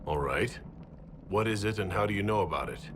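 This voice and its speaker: Lowish, expressive actor as a male fantasy hero. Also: brisk, noisy background, lightly acted. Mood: questioning. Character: earnest male fantasy hero, burdened leader. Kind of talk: video game cutscene acting.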